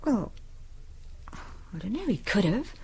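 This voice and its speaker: An actress playing an uncertain, defensive woman under questioning in a radio drama, her voice breathy and husky. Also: medium pitch, very animated, slow pace, darkish tone, full character voice. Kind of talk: broadcast radio drama acting.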